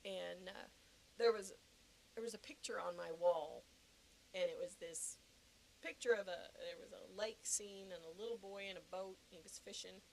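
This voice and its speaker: A woman's voice: mid-range voice, normal speed, creaky, a little mellow, slight background noise, poor audio. Earnest woman telling her personal story. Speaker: podcast guest giving personal testimony. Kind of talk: personal testimony, conversational storytelling.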